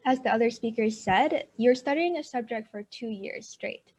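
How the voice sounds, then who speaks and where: A woman's voice: a little high, normal speed, a little mellow. Chatty young woman, Texan-tinged. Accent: Texan-tinged American. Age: young adult. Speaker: alumna guest, university student. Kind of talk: virtual panel interview. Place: at home in summer heat.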